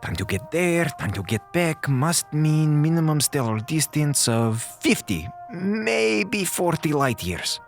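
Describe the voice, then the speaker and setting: Brusque, pedantic scientist, animated pitch. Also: male voice, takes it slowly, creaky, few pauses, soft background music, heavily acted. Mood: brisk, analytical. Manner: formal, clipped, precise diction. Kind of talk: audio drama acting.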